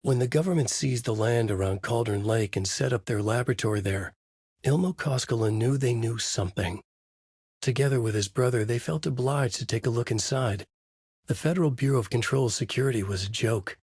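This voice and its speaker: A man's voice: medium pitch, a little fast, darkish tone, slightly rough, no room sound, clean studio sound. A man voicing a haunted male horror novelist, delivering grim noir-style inner monologue as game voice lines. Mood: brooding. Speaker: narrator.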